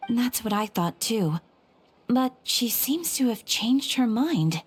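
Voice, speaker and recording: A helpful, composed receptionist from a video game. She talks slowly in a medium-pitched, expressive voice, polite and calmly concerned, with faint ambience behind. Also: a little hiss or hum. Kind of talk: video game character acting.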